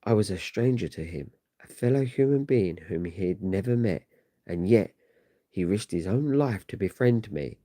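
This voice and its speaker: A man acts out a timid young mother in a comic book. The delivery is hesitant and grateful, with a low, mellow tone and a dry sound. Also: expressive, moderate pace, dry recording. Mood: sorrowful, hesitant. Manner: hesitant, timorous.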